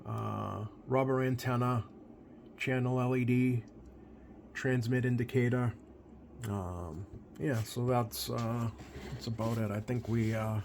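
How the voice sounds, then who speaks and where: An American man giving a casual, unscripted product walkthrough as a hobbyist, speaking in a hesitant, halting way with drawn-out pauses. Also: mid-range voice. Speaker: radio hobbyist presenting a video. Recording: clear steady background noise.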